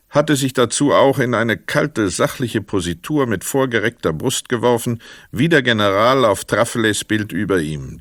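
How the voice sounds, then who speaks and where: A man narrating a literary crime radio play as a sober storyteller, measured, precise and dramatic. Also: lowish voice, a bit bright, dry recording, studio quality.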